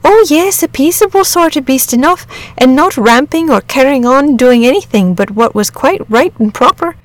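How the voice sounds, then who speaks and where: Woman playing a flustered country shepherd, pitched high. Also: expressive, moderate pace, no echo, poor audio. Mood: nervous, bewildered. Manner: rustic dialect grammar, agitated and emphatic. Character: frightened rustic shepherd father, flustered and grumbling. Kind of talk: storybook character reading.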